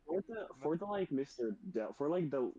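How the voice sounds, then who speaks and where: A man's voice: a little high, sing-song, a little fast, mellow. A man with a hesitant, stammering way of talking, discussing a game casually. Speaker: player in a group online game. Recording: dull lo-fi voice chat.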